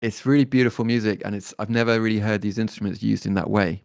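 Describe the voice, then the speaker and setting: A man, talking as a guest musician in a relaxed interview, thoughtful and hesitant with many fillers and restarts. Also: lowish voice, quick pace, creaky, no echo, studio quality. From born in Detroit, raised in London.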